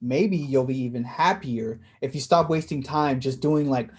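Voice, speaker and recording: A woman's voice: very deep voice, moderate pace, a little mellow, breathy edge. A woman playing an insistent, needling younger brother of college-graduate age in a naturalistic staged play reading, pressing and direct. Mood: insistent, challenging.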